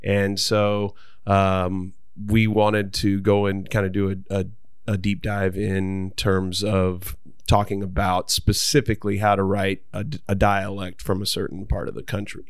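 A man's voice: deep voice, completely flat delivery, measured pace, smooth, no room sound. A man hosting a podcast, chatting casually and rambling as he searches for words. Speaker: podcast host.

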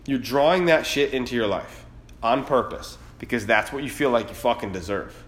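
A man's voice: mid-range voice, normal speed. A man in his late thirties hosting a podcast, giving a blunt, fired-up motivational monologue full of swearing. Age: 30s. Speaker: podcast host. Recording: roomy echo.

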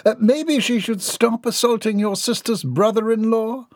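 A man's voice: high-pitched, normal speed, darkish tone, dry recording, studio quality, pitched above the performer's own voice, lightly acted. A man voicing a cautious, wry young helper in an audiobook.

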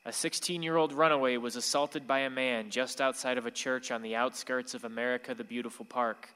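A male actor plays a wistful, wounded son in a radio drama, delivering earnest monologue and dialogue with a bitter edge. His voice is medium in pitch and bright, ringing in a long reverb. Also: fairly even intonation, a little fast, clean tone, lightly acted. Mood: grave.

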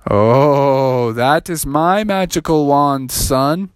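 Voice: medium pitch, extremely slow, smooth, no echo, studio quality. An adult voicing a stern but playful old male wizard in an improvised storybook tale, with a folksy way of talking. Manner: folksy, calls the hero "me boy".

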